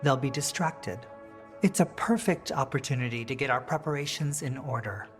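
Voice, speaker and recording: A woman's voice: a bass voice, moderate pace, soft background music. A woman playing an earnest, idealistic noble reformer in a video game, articulate and steadfast. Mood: focused. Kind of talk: video game character dialogue.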